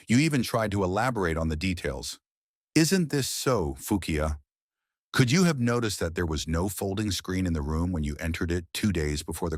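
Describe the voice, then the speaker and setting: A man voicing a shrewd, confident male detective who questions in a sharp, probing, sarcastic way, in an audiobook. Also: low voice, no echo, studio quality. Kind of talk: audiobook character voice.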